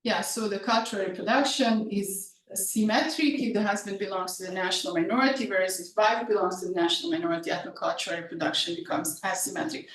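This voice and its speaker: Hesitant woman presenting in an echoey room. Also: on the low side. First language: Hungarian. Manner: hesitant, many fillers and restarts. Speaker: research presenter. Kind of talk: academic talk and Q&A. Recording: echoey room, speaker far from mic, faint noise.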